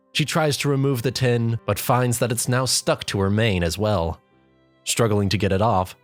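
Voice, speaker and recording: A storyteller reads scripted comic narration in a medium-pitched voice. The delivery is wry and exasperated. Soft music runs underneath. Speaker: fan fiction narrator. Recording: music behind the voice.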